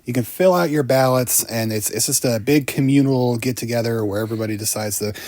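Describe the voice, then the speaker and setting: A man co-hosting a casual film podcast, with dry jokes and lines that trail off quietly. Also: medium pitch, fairly quick, no echo. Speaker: podcast co-host.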